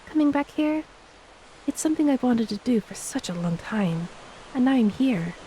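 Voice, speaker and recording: Woman acting as soft mellow mermaid wife in a romance audio roleplay, loving n a bit teasing, some hiss behind her. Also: a little high, lively intonation, moderate pace, lightly acted, rough recording. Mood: tender, grateful. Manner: tender, teasing, speaks softly to a beloved spouse. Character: affectionate, homesick ex-mermaid wife, gentle and playful.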